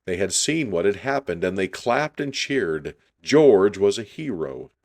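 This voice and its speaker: A man reading a children's story aloud in an unhurried, deliberate storytelling style. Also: sits low, big pitch swings, darkish tone.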